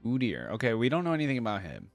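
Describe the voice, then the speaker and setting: A man's voice: mid-range voice, huge pitch swings, rapid-fire, gravelly, faint music behind. A man hosting a reaction video, giving casual, exclamatory commentary and sounding easily impressed.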